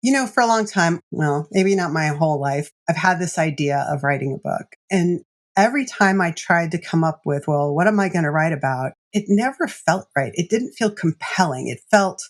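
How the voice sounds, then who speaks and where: A woman executive coach telling stories as a podcast guest, animated and laughing often. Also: fairly low pitch, normal speed.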